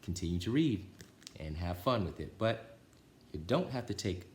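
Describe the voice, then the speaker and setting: A man with a fairly low voice reads and chats as a children's storytime host. His intonation is very animated, and the room around him echoes. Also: normal speed, noisy background. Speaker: storytime reader. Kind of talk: children's storybook narration and casual chat.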